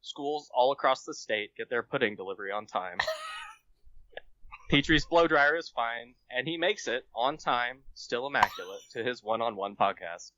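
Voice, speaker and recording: Man, forceful bright tone, amateur writer reading a humorous story, dry close sound, slightly muffled top end. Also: mid-range voice, lively intonation, measured pace, no echo. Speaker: podcast guest, amateur writer. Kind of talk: reading own comic short story aloud.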